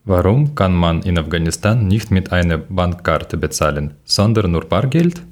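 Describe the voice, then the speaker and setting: A man's voice: sits low, lively intonation, normal speed, darkish tone. A man from Ukraine hosting a casual podcast in learner German, leading the talk and asking questions with some hesitation. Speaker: podcast host.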